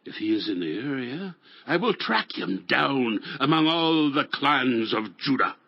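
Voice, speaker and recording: A man playing a commanding, suspicious king in a scripture drama, speaking slowly and emphatically. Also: mid-range voice, very animated, vocal fry, dry recording, full character voice. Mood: calculating, commanding. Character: commanding, suspicious king Saul hunting his rival. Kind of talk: dramatized scripture audio acting. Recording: dry, dull lo-fi audio.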